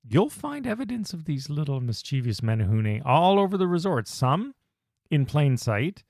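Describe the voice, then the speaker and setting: Male podcast co-host, mid-pitched, chatty and enthusiastic, recorded close and dry. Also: gravelly, darkish tone, no echo. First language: English. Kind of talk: casual podcast chat.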